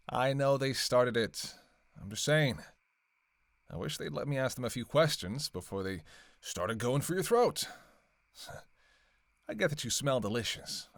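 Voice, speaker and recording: A man's voice: mid-range voice, expressive, a little slow, pauses often, dry recording. A man playing a flirty, sardonic vampire in a roleplay audio drama, with casual, teasing, profanity-laced banter. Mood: indignant. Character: flirty, sardonic male vampire on a road trip.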